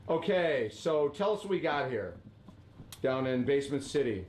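A man's voice: medium pitch, very animated, normal speed, darkish tone. A man leading a casual lesson, asking prompting questions and tagging lines with "right?". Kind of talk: casual instructive talk. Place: basement room. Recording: echoey basement room, faint ambience.

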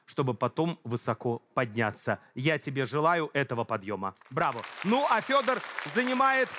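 A man's voice: medium pitch, big pitch swings, moderate pace, crowd noise, noisy background. A man hosting a TV show, speaking emphatically with rising announcements. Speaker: show host.

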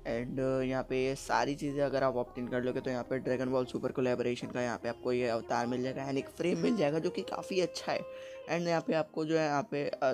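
A man, a gaming YouTuber talking through a game event as a voice-over, steady and explanatory, saying "okay" again and again. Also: medium pitch. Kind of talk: screen-recorded game guide.

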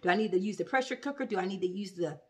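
A woman's voice: mid-range voice, very fast. A woman hosting a cooking vlog from her home kitchen, chatting upbeat with viewers and checking in with "okay?" and "you guys". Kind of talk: casual how-to chat to viewers.

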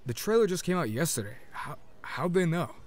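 A man's voice: fairly high pitch, very animated, brisk. A man voicing a brash, incredulous teenage boy gamer in an audiobook.

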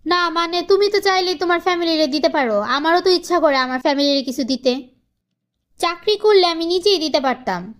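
A woman plays a young cartoon wife with a strikingly high-pitched voice. She sounds plaintive and earnest, frustrated with married life. Her complaints are emphatic, between pleading and defiance. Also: expressive, fairly quick, a bit bright. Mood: aggrieved, earnest. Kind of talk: cartoon family drama dialogue.

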